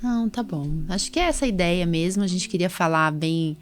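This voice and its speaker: A woman's voice: lowish voice, fairly quick. A Brazilian woman hosting a podcast as a doctor, chatting informally and chiming in with agreement. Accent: Brazilian Portuguese. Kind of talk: casual podcast chat.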